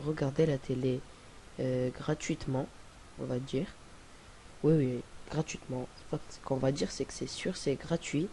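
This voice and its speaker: A young man with a cold, talking through a casual screen-recorded software tutorial in a rambling, hesitant way. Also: mid-range voice, rough recording. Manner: rambling, hesitant, many fillers and restarts. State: has a cold. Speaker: tutorial presenter. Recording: faint hiss on home recording.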